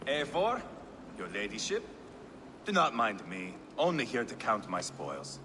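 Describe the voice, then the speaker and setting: A sinister Viking, wildly swooping voice. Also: male voice, big pitch swings, measured pace. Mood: sly menace. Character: sinister, taunting, swaggering Viking raider man. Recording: reverberant, clear rumbling noise.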